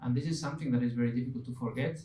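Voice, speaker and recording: A man's voice: fairly even intonation, very fast, warm tone. A man who speaks English as a second language, reflecting hesitantly during a panel discussion, searching for his words. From outside Finland. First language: not English. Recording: echoey room, distant mic, low rumble.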